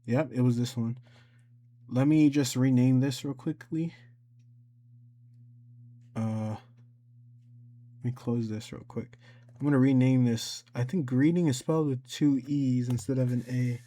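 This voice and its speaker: A man's voice: medium pitch, steady tone, unhurried, pauses often, warm tone. Casual man walking through a tutorial. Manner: informal, talking himself through each step. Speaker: tutorial host. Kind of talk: casual screen-recorded software tutorial.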